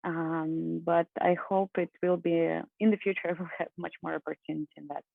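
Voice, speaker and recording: A woman from Russia in her thirties giving an earnest conference talk over a video call, with frequent fillers and soft laughs. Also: on the low side, fairly even intonation, a little fast, creaky, no room sound. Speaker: conference speaker. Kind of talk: presentation talk. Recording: phone-like dry online call.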